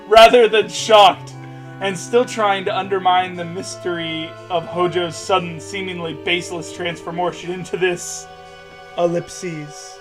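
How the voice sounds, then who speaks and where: A man's voice: high voice, expressive, takes it slowly, music in the background, poor audio. A young man reading aloud and commenting casually, sarcastic and sweary, often breaking off to joke. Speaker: co-host reading fan fiction aloud. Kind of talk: casual mocking read-aloud and commentary over a game.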